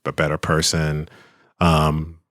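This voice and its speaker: A middle-aged man with a very deep voice talks openly as an interview guest, thoughtful and candid, often pausing, restarting and laughing. The sound is close and dry, with no room echo. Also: completely flat delivery, a bit bright. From Kentucky, US. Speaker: author and attorney, interview guest. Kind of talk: casual interview conversation.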